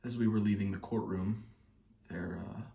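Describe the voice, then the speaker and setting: A man performing a stage monologue as a shaken, bitter trial juror, speaking haltingly like someone giving testimony. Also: fairly low pitch, fairly even intonation, creaky, warm tone. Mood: subdued, haunted. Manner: halting, with pauses and repeated phrases. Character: shaken male trial juror, hounded, guilt-ridden and bitter. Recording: roomy echo, distant mic.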